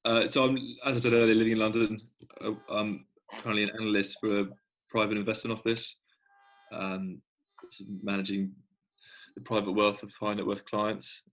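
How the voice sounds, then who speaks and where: A young man just starting his career, talking in a measured, hesitant way. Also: on the low side, level tone, fairly bright tone, poor audio. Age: young adult. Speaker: guest catching up with friends. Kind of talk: casual chat.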